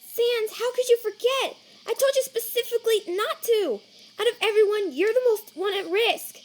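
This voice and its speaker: Woman playing a stammering, high-voiced monster scientist. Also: fairly even intonation, lightly acted, low-quality recording. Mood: alarmed, scolding. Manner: stammering, hesitant, halting. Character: nervous female monster scientist, earnest and anxious. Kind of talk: fan comic dub acting. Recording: chirping crickets and night ambience behind.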